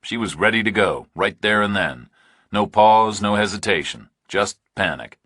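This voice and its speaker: Very low-voiced male thriller narrator, slightly husky. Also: animated, normal speed, sharp tone, dry recording, studio quality. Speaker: audiobook narrator.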